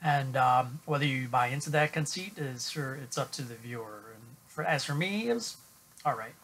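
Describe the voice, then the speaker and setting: A middle-aged man giving an unscripted film review to camera at home, halting with many fillers and restarts. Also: medium pitch, animated, a bit bright, slight background noise. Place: small room at home, indoors.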